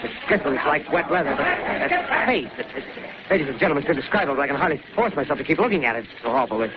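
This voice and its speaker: An actor playing an on-the-spot radio reporter in a 1930s radio drama, talking in urgent, halting live commentary. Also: male voice, fairly high pitch, very animated, a crowd in the background. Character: excitable on-the-spot radio reporter, adult man. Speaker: field reporter. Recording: dull, hissy 1930s radio broadcast.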